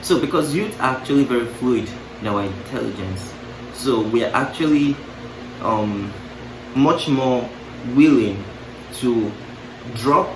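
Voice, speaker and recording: A man's voice: medium pitch, lively intonation, a little slow. A young man musing casually to camera, breaking off into playful asides and restarting his thoughts. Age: young adult. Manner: musing, self-interrupting, playful asides with laughs. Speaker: vlogger. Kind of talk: casual reflective monologue to camera. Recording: roomy, distant mic, clear background noise.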